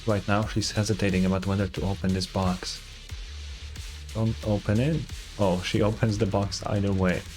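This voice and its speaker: A man's voice: on the low side, flat delivery, moderate pace, darkish tone. A man streaming a video game, reading its story narration aloud with casual asides, over game music.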